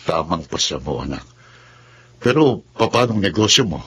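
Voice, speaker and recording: A man's voice: low-pitched, big pitch swings, normal speed, fairly bright tone, slight background noise. An actor with a Filipino accent playing an older, concerned and supportive father in a radio drama. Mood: concerned, approving. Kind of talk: Tagalog radio drama acting.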